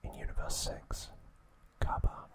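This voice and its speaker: A man narrating trivia as an ASMR host in a breathy, unvoiced whisper, soft and deliberate.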